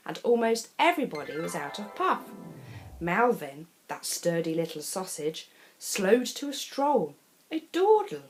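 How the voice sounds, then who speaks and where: A woman narrates a children's picture book. Her pitch moves widely and her delivery is theatrical. She speaks straight to the young listener with chatty asides. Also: slight background noise, low-quality recording. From England. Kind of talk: expressive children's storybook narration.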